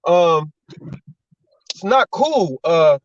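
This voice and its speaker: A high-pitched man hosting a talk show, talking bluntly and cursing freely, circling back to hammer the same point. His voice sounds dull and set back from the mic, with no room echo. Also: big pitch swings, very slow, recorded from a distance. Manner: blunt, swearing, repeats himself when worked up. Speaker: host. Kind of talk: heated casual talk show chat.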